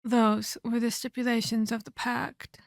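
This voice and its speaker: Cruel ancient female vampire, played by a woman, for ASMR drama: very slow, close and dry, condescending menace. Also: on the high side, level tone, vocal fry, no room sound. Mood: cold, scornful. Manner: menacing, condescending, deliberate theatrical delivery with whispered emphasis. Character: cruel, domineering ancient female vampire scolding her captive pupil. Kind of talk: dramatic ASMR roleplay acting.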